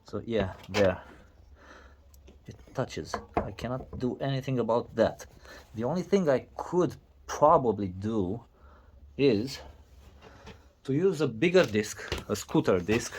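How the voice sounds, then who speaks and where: A man whose first language is not English, narrating a casual workshop vlog in a halting way with many restarts and fillers. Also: mid-range voice, big pitch swings, a little mellow, a little hiss or hum. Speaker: DIY video maker.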